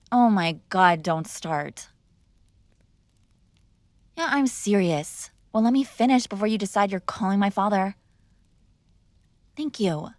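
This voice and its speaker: A woman's voice: mid-range voice, animated, slow pace, pauses often, dry recording. A woman playing a mob boss's spoiled, bratty young adult daughter in an intimate roleplay, speaking casually with sarcastic, exasperated argument. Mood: defensive, bratty. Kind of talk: intimate ASMR roleplay acting, one-sided conversation.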